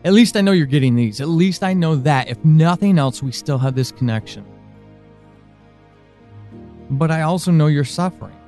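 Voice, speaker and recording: Man acting worried, frustrated man, halting, over music. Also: a little high, lively intonation, mellow, natural voice. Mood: worried, resigned. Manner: halting, sighing, intense. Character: worried, frustrated man pleading with a missing friend. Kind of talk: audio drama monologue.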